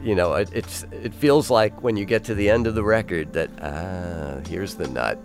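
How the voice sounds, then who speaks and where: A man hosting a music interview on the radio, speaking conversationally with halting, repeated phrasing. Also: on the low side, animated. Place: radio studio.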